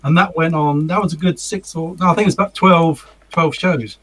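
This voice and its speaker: Husky-voiced co-host. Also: male voice, on the high side, steady tone, fairly quick, fairly bright tone. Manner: rambling, self-correcting recall. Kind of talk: casual chat.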